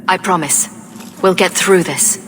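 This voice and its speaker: A woman's voice: fairly low pitch, unhurried, fairly bright tone, slight background noise. A woman playing a resolute young champion in a video game, firm, earnest and commanding. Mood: calm resolve. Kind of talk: dramatic video game voice acting. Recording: long reverb, music behind.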